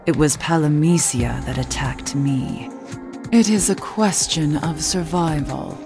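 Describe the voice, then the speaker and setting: A woman playing a somber, gently wry cursed witch in a video game, measured, earnest and questioning. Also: sits low, music in the background. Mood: probing, composed. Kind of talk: video game cutscene acting.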